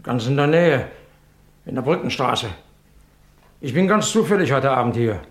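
Ein Schauspieler spielt in einem Krimihörspiel einen unsicheren, ausweichenden Angestellten, der verhört wird und zögernd antwortet. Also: male voice, mid-range voice, expressive, moderate pace, roomy sound, lightly acted. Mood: guarded, uneasy. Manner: hesitant, guarded. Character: uneasy, evasive dismissed male employee questioned by police. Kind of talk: German radio crime play acting.

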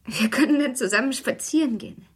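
Anxious young mom in a radio play, super breathy, tender but brittle n guilty. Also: female voice, high voice, fairly quick. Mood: wistful, pleading. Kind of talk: German radio play acting.

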